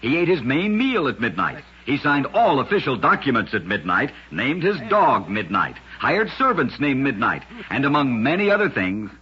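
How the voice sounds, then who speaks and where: A man narrating short scripted stories as host of a vintage radio program, with a husky, gritty voice and a dramatic storyteller's delivery, on an old radio recording. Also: mid-range voice, sing-song, moderate pace, few pauses, muffled recording.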